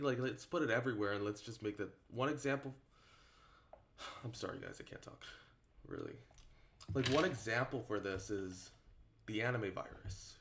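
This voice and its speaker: A man giving a casual, unscripted opinion talk to his viewers as an online creator, halting and rambling, often repeating himself for emphasis. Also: medium pitch.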